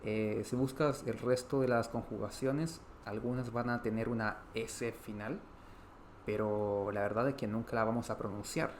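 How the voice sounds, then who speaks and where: A man from Chile with a Chilean Spanish accent, explaining grammar in an online language lesson, pausing often on "eh" and restarting phrases. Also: lowish voice, fairly even intonation, a little hiss or hum. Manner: explanatory, frequent "eh" fillers, repeats and restarts. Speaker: Spanish teacher.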